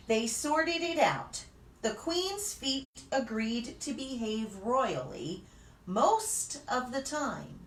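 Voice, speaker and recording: A woman reading a children's story aloud expressively, with deliberate phrasing and dramatic pauses. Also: on the high side. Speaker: pastor reading children's stories. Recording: roomy, distant mic with faint noise.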